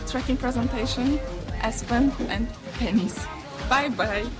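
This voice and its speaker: A woman's voice: fairly high pitch, measured pace, over music. Teasing woman with a Polish accent. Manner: teasing, hesitant, reads from a small card. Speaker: colleague giving a farewell message. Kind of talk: casual farewell message to camera.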